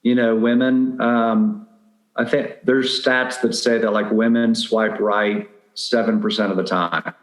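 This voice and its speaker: A man's voice: mid-range voice, flat delivery, measured pace. A middle-aged man speaking as an interview guest, explaining things in a casual, conversational way. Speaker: podcast guest, dating advice blogger. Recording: roomy, echoing sound.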